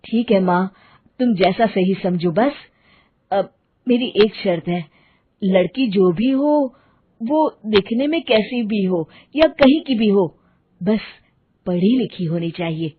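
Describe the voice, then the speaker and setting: A woman's voice: mid-range voice, lively intonation, slow, husky, narrow, tinny audio. A woman voicing a meek, obedient young man, a son who speaks in a mild, deferential way. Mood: placating.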